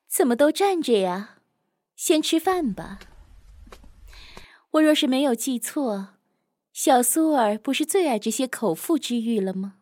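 A woman's voice: high voice, big pitch swings, slow, long pauses, a little mellow, dry recording, studio quality, heavily acted. A woman acting a confident, teasing senior assassin with private wistfulness in a Chinese costume audio drama. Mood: teasing, aloof.

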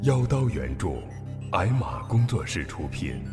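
Deep, slow male title announcer. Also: sing-song. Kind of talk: dramatic scripted title announcement. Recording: long reverb, loud music.

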